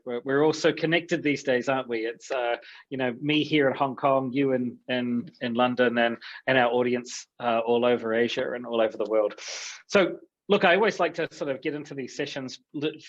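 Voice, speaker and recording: A man's voice: mid-range voice, lo-fi sound. A man hosting a conference interview in a chatty, upbeat way with frequent restarts. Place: Hong Kong.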